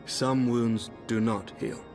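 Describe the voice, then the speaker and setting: An earnest highborn knight of a fantasy game, speaks in a medium-pitched voice. He is formal and impassioned with archaic turns of phrase, carried on long reverb with music beneath. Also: level tone, slow, a little mellow. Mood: somber. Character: earnest noble knight commander, wounded son confronting his father. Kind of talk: theatrical fantasy game voice acting.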